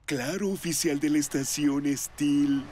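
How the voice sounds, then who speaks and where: A man's voice: on the high side, measured pace, background noise, lightly acted. A man voicing an earnest, hapless and goofy young firefighter in a cartoon. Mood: eager. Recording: loud music behind the voice.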